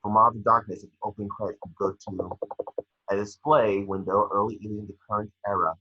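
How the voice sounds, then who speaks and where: Raspy, low-voiced man reading screenplay narration. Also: fairly even intonation, fast, bright, forceful tone. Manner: stumbles over the script. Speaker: scene description reader. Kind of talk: screenplay narration at an online table read. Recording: echoey room, slightly dull microphone.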